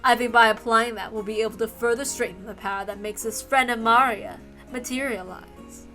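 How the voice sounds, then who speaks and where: A proud golden witch , voiced by a woman, with a bright and fairly high voice. She declaims ceremoniously, in grand visual novel style, over soft music. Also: fairly even intonation, a little fast. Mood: solemn, grand. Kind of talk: dramatic visual novel read-aloud.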